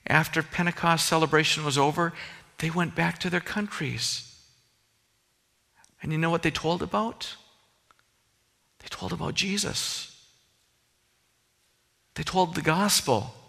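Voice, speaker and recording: Pastor, male, Minnesota accent, bright and slightly high voice, earnest conversational sermon, reverberant hall. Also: unhurried, gravelly, pauses often. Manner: earnest, conversational preaching with personal asides.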